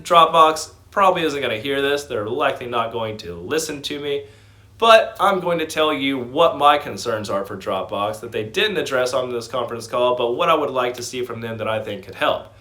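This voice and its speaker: A man hosts an informal stock commentary in a mid-range voice. He speaks with clear enthusiasm and puts emphasis on his points, explaining things as he goes. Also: medium pitch, sharp tone. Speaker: host of a stock commentary channel.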